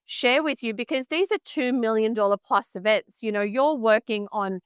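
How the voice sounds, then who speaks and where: Woman interviewer, articulate, smooth clear voice, dry close sound. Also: on the high side, normal speed, no room sound. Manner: polished, articulate, warmly summarizing. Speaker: interview host. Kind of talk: hosted interview questions.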